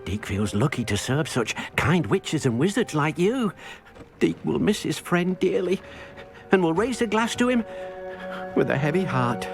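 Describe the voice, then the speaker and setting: A kindly, deferential house-elf from a fantasy video game. The voice is fairly high and swoops with expression, with a timid, hesitant delivery. Music plays softly behind it. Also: male voice, sing-song, measured pace, gravelly, exaggerated voice. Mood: sorrowful. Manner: hesitant, speaks of himself in third person. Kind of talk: video game character dialogue.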